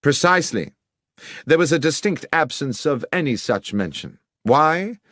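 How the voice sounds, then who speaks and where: A man voicing a sharp, confident detective in an audiobook, speaking with incisive, emphatic precision. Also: a little high, animated, unhurried, no echo, studio quality, voice pushed up. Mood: incisive, assured. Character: sharp, precise male detective, confidently explaining his deductions. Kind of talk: audiobook character acting.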